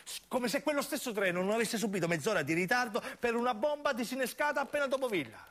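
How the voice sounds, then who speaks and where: High-pitched southern Italian man, dramatic storyteller. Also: expressive, fast talker, a bit bright. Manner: emphatic, incantatory repetition, acting out the characters as he tells. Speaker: narrating actor. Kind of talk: theatrical dramatic monologue storytelling for radio.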